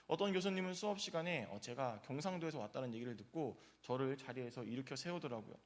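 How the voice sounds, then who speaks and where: A man's voice: mid-range voice, expressive, quick pace. A male pastor giving an early-morning sermon in a church, telling personal stories in a conversational way with frequent filler sounds. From South Korea, grew up in Gyeongsang region.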